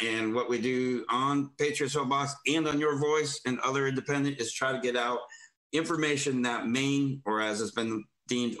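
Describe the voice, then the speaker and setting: A man hosting an informal political talk show, speaking in a rambling, halting way. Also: mid-range voice, fairly bright tone. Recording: echoey room sound.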